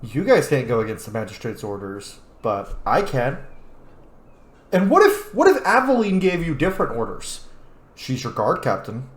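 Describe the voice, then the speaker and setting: A man's voice: medium pitch, wildly swooping pitch, moderate pace. A man hosting a casual video game playthrough, informal and sweary, musing aloud as he plays. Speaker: gaming host. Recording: roomy echo, faint game music. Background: faint game music.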